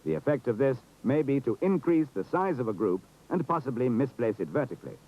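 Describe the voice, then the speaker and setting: Formal British man narrating, lively intonation. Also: mid-range voice, a little fast. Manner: formal, precise, authoritative. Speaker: training film narrator. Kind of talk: scripted instructional narration. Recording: dull, muffled 1970s film soundtrack.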